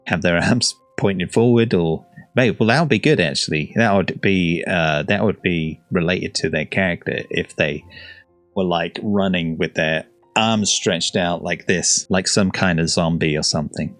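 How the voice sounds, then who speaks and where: Brief: guy hosting a reaction video, low voice, rambling and jokey, dry sound. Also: a little slow, a bit bright, dry recording, faint music behind. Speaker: gaming reaction host. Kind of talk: casual reaction commentary.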